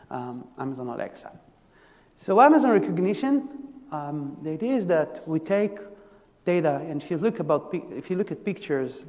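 A man with an Israeli accent explains software services to a conference audience. His voice sits a bit high for a man, and he stops and restarts often, filling gaps with ums. The sound trails off in the long reverb of a large hall. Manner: halting, many fillers and restarts. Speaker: technical presenter. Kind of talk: conference tech talk.

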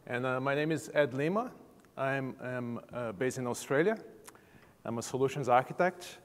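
A man's voice: medium pitch, normal speed. Jet-lagged man from Brazil, hesitant, lots of fillers, in a reverberant hall. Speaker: solutions architect. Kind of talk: conference presentation intro. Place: large conference hall.

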